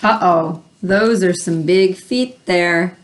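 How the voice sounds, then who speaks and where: A woman's voice: lowish voice, takes it slowly, slight background noise. A woman reading a children's picture book aloud, adding chatty asides and simple explanations for young listeners. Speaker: read-aloud host.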